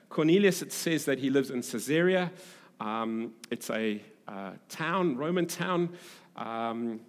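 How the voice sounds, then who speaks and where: A man from Durban with a South African English accent, preaching as a pastor in a conversational, halting way with repeated phrases for emphasis. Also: mid-range voice. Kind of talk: sermon with scripture reading and conversational asides. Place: large reverberant hall, preaching to a congregation. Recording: echoey hall with long reverb.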